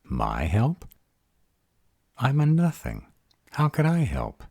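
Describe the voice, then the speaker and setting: A man's voice: mid-range voice, wildly swooping pitch, slow, creaky, dark tone, husky, no room sound, studio quality, heavily acted. A man playing a sarcastic, insecure young vampire in an audio roleplay, with a drawling manner. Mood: incredulous, self-doubting.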